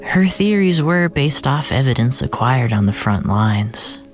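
Slow, hushed dramatic narrator over music. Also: medium pitch, animated. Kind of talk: slow, scripted surreal audio drama narration.

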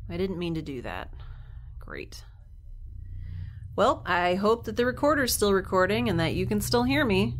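A woman's voice: medium pitch, big pitch swings, normal speed, pauses often, slight background noise, natural voice. An actress playing a sarcastic young woman stranded in space, recording an audio log. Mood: wry. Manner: wry, sarcastic. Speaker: narrator of her audio log. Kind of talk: audio drama acting.

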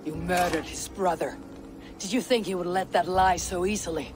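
An actor playing a calm, resolute Viking warrior who speaks tersely. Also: male voice, high voice, moderate pace, background noise, close to an everyday voice. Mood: steady. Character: steady young adult male Viking warrior. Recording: game soundtrack with music behind.

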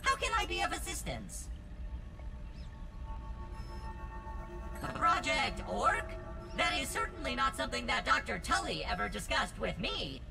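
A chirpy robot assistant from a video game, very gravelly yet high. It speaks in wordy, formal phrases with stuttering glitches and swooping intonation, over loud music. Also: female voice, huge pitch swings, moderate pace, full character voice. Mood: matter-of-fact. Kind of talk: scripted video game dialogue.

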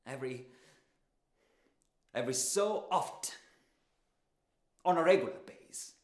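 An actor playing a despairing, insecure man in his thirties in a confessional, halting dramatic monologue. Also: on the high side, fairly bright tone. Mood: agitated, anguished. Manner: confessional, halting, deliberate pauses. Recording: roomy, echoing sound.

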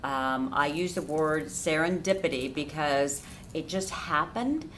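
A woman's voice: low-pitched, animated, measured pace, a bit bright, a little hiss or hum. A middle-aged American woman from Florida giving conversational answers as an interview guest. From Florida, United States. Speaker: interview guest, cinema cafe owner. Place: inside a dim cafe theater.

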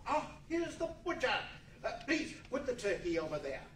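A man's voice: very high voice, very animated, moderate pace. A man acting a reformed old miser in a 1940s-style radio play, effusive, generous and repentant in a theatrical manner. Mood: excited, generous. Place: large indoor meeting room, live stage reading. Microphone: far camera. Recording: echoey meeting room from far off, noisy.

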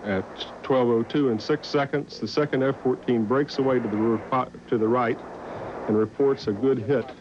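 An American man in his forties giving a blunt, deliberate press briefing from a podium. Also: mid-range voice, fairly even intonation. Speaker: Pentagon spokesman. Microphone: podium mic. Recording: muffled archival tape, faint noise.

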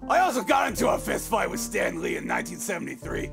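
A man playing a gruff, cantankerous old great-uncle and con man in a cartoon comic dub, blustering in a gravelly voice. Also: extremely high pitch, huge pitch swings, fast talker, music in the background, exaggerated voice. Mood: wistful, aggrieved. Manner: blustering, loud.

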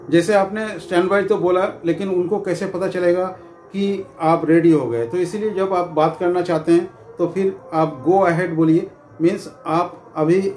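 Male instructor, middle-aged, fairly high voice with a soft-edged tone, talking viewers through points repetitively. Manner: repetitive, explanatory, with frequent filler phrases. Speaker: presenter of security training videos. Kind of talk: informal instructional talk to camera. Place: small indoor room with curtains. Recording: echoey room with faint background noise.

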